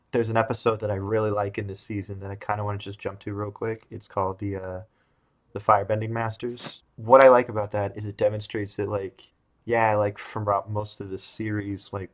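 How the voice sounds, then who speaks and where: A man hosting a casual group discussion, thinking aloud with lots of fillers. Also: lowish voice. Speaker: podcast host.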